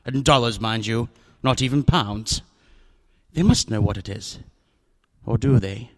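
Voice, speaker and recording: An actor playing a smooth, fat, older male villain in a radio drama, with ornate, courtly speech and a constant "sir". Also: mid-range voice, unhurried, in a large hall, natural voice.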